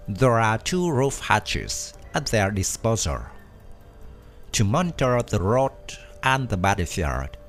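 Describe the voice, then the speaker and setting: Man narrating with a lively voice. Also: mid-range voice. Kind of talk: scripted documentary narration. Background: faint background music.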